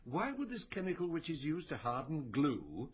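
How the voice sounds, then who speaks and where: An actor playing a brooding, inquisitive medical detective in a naturalistic radio drama, questioning and musing in a deliberate way. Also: male voice, on the high side, huge pitch swings, breathy edge, highs cut off, exaggerated voice. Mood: probing.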